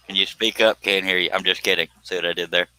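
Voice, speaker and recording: A man in his fifties chats as a live stream host in a fairly low, bright voice. The sound is dry and close, and his delivery is folksy, full of joking and teasing. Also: steady tone, fast, clean tone, no echo, rough recording. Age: 50s. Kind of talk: casual live stream chat.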